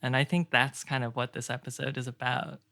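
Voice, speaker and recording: A podcast guest speaks in a smooth, clear voice at a middling pitch. The delivery is reflective and earnest, thinking ideas through aloud, and the recording is dry and close. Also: little pitch movement, a little fast, pushed and bright, no echo.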